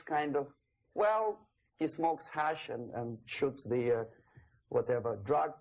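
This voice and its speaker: A man giving a lecture, speaking haltingly and repeating words as he goes. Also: a little high, darkish tone, rough recording.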